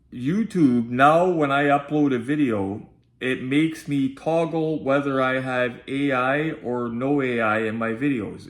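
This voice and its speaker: A man with a nasal voice, chatting informally to viewers as he hosts a casual unboxing vlog. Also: medium pitch. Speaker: video host and collectibles unboxer. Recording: roomy, echoey sound.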